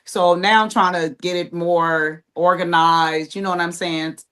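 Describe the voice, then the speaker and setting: A woman's voice: on the low side, steady tone, unhurried, a bit bright, smooth. Casual American woman chatting as a guest. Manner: informal, conversational. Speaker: playwright and creator, interview guest. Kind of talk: casual panel chat.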